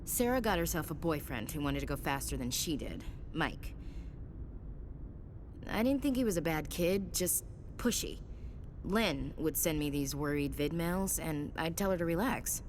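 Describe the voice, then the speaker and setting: A woman's voice: sits low, lively intonation, measured pace, a little hiss or hum. A woman playing a tough, candid soldier and protective big sister in a video game, frank and conversational. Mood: earnest, proud. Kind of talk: naturalistic video game dialogue acting.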